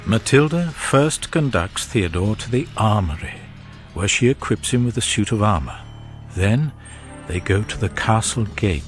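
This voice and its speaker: An actor with a British accent playing a grave, wistful narrator in a gothic radio drama, speaking in a measured literary manner. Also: male voice, fairly low pitch, animated. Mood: solemn, wistful. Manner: measured, solemn, literary delivery. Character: grave, wistful male storyteller of a gothic romance. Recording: radio drama with loud music underneath. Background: loud music.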